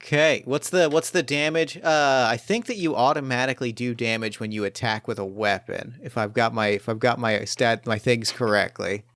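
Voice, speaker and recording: Clear-voiced man running a tabletop game. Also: medium pitch, moderate pace, hardly any pauses, fairly bright tone, no echo, clean studio sound. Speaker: game master. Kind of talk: improvised tabletop game narration, casual.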